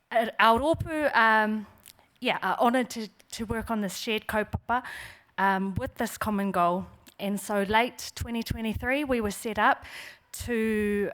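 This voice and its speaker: A woman giving a prepared symposium talk. She opens with formal greetings and speaks in a measured way with frequent fillers. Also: medium pitch, a bit bright. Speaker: symposium presenter. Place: conference hall.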